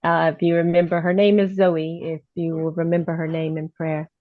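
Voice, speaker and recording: A woman's voice: on the low side, level tone, moderate pace. A woman chatting casually and appreciatively on a video call. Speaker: meeting participant, parishioner. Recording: dull video call audio.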